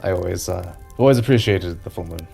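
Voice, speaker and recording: A man's voice: sits low, brisk, vocal fry, warm tone, faint music behind. A man playing a reserved, awkward male travelling companion in a tabletop audio drama, hesitant and dry. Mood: guarded, reflective.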